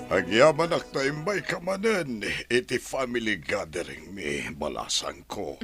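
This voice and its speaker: A man's voice: on the low side, sing-song, measured pace, fairly bright tone, soft background music, heavily acted. An actor with an Ilocano Filipino accent playing a genial older pensioner father in a radio drama. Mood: welcoming.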